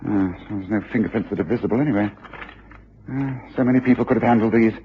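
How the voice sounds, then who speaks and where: A man's voice: lowish voice, quick pace, natural voice. An actor playing a methodical, courteous male detective in a radio drama, musing aloud with hums and ahs. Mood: musing, inquisitive. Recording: muffled radio recording with faint hiss.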